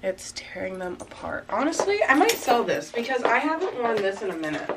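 A young woman in her twenties chatting casually as she tries on outfits in her bedroom, a little raspy from congestion, rambling with lots of fillers. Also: on the high side, sing-song, fairly quick. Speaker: fashion vlogger. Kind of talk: casual try-on chat. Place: small bedroom with backdrop, indoors. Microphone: camera mic from a few meters away. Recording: slightly distant camera mic, faint room noise.

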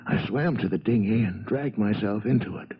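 An actor playing an earnest, weary man giving a hesitant, measured account in a 1970s radio drama. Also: lowish voice, husky. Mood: shaken, weary. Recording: dull, muffled old radio recording.

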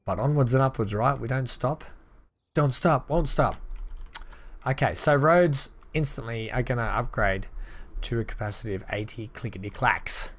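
A slightly hoarse man chatting casually as he plays and narrates a transport-building game, thinking aloud with upbeat asides. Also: mid-range voice, normal speed, a bit bright. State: slightly hoarse, losing his voice. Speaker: gaming channel host. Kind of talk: casual let's-play commentary.

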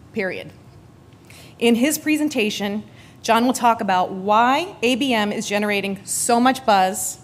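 A woman's voice: fairly high pitch, a little hiss or hum. A woman at a conference podium reading a prepared introduction of the next speaker into a podium mic.

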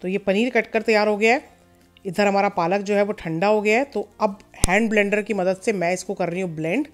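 Woman, mid-pitched, calm cooking-show voice-over with light music behind. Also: brisk. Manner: calm step-by-step instruction, mixing in English words. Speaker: cooking channel host. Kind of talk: recipe voice-over.

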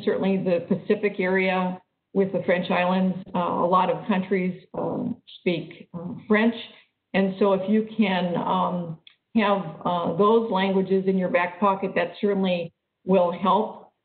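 A woman lecturer answering questions on an online call, speaking haltingly with many fillers and restarts. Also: on the low side, flat delivery. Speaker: guest lecturer. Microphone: video call. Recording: echoey, phone-like call audio.

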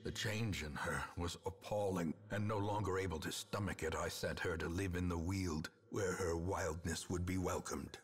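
An actor playing a grim, remorseful old ancestor, narrating a gothic horror game in grandiose, deliberate phrases. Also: male voice, steady tone. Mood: sombre, regretful. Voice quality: deep, husky.

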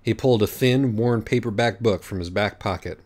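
A man reading a comic story aloud as an audiobook narrator, in a deadpan, wry manner. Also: fairly low pitch, normal speed.

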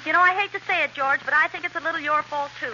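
Brisk, piercingly bright radio wife. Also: female voice, sits high, steady tone. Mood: reproachful. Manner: brisk, scolding. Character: brisk, bossy breadwinner wife, tired businesswoman. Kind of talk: 1930s radio comedy acting. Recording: muffled, hissy 1930s radio broadcast.

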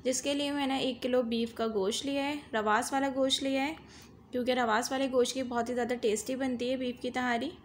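Cooking host, woman, high pitch, patient instructive voice-over. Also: fairly even intonation, fairly quick, a little hiss or hum. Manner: instructive, repeats "dekhiye" to guide viewers.

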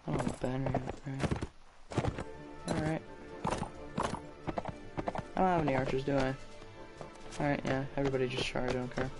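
Man streamer, low gravelly voice, casual game commentary over clear music. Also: level tone, normal speed, pauses often. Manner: chatty, reads game dialogue aloud. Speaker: gaming streamer. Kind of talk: casual let's-play commentary.

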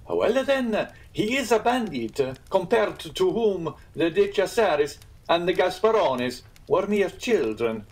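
A man's voice: high voice, sing-song, unhurried, hardly any pauses, darkish tone, pitched above the performer's own voice. A man voicing a proud, easily piqued Italian innkeeper in a storybook, earnest and emphatic. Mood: alarmed, insistent.

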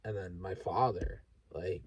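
Guy around 30, low husky voice, rambling honest car vlog, swears a lot, lots of pauses. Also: fairly even intonation, distant mic. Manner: halting, frank, sweary, with sighs and pauses. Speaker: vlogger. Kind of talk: candid personal vlog monologue. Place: inside a parked vehicle at night.

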